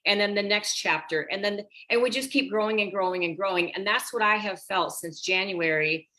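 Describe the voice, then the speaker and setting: Animated woman in her sixties, bright-voiced. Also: medium pitch, fairly quick, low-quality recording. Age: 60s. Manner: animated, talks straight to the group as "you guys". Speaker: women's health coach. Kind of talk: casual group video call chat.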